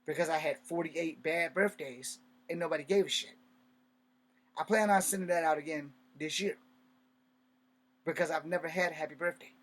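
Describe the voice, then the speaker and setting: A man's voice: fairly high pitch, normal speed, pauses often, a bit bright. A man in his fifties hosting a personal vlog, talking bluntly and bitterly with profanity and emphatic repetition. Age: 50s. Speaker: host.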